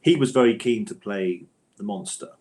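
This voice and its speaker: A man's voice: on the low side, moderate pace, a little mellow. A middle-aged man answering as an interview guest in a thoughtful, hesitant conversational way, often rephrasing as he goes. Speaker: interview guest, author and audio drama scriptwriter.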